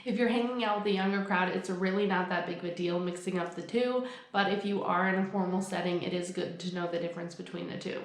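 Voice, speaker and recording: A woman's voice: on the low side, quick pace, few pauses. A young woman talking casually to camera in an upbeat, chatty way, explaining things with examples. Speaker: vlogger. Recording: echoey room.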